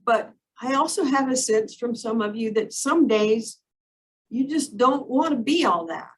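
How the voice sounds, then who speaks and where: A woman's voice: a little high, lively intonation, unhurried. A woman, an instructor on a panel, posing a long, earnest question. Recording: distant mic with faint room noise.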